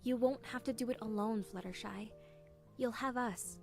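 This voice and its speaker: A woman's voice: fairly high pitch, moderate pace, vocal fry, darkish tone, soft background music. Woman as sensible, composed female pony leader. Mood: steady, supportive. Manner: composed, take-charge. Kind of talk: animated fan audio drama acting.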